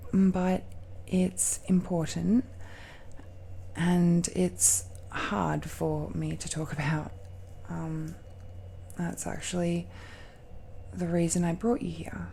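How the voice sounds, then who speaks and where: A woman voicing a tender, nervous girlfriend of dragon blood in an intimate romantic audio roleplay, speaking haltingly and softly, often dropping into whispers. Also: on the low side, creaky, a little hiss or hum, poor audio. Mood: nervous, earnest. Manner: slow, halting, hushed, often sighing or lapsing into whispers. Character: tender, nervous dragon-descended girlfriend confiding in her lover.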